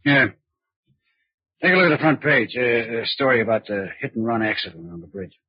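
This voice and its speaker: A cool American blackmailer with a very husky, insinuating voice. He is sardonic and quietly menacing, in 1940s radio style. The sound is dull and dry. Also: medium pitch, expressive, brisk, no room sound. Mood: smooth, insinuating. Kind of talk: 1940s radio drama acting. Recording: muffled old radio recording.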